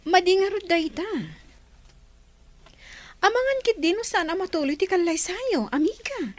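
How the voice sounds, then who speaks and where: A woman's voice: very high-pitched, expressive, moderate pace. An actress playing a sly female friend coaching a deception in a radio drama. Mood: concerned.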